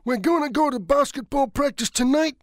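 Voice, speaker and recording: A woman voicing an excitable, defiant teenage schoolboy in a dramatised story, with emphatic, clear diction. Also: sits high, brisk, no echo, studio quality, close to an everyday voice. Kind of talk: dramatised story for language learners.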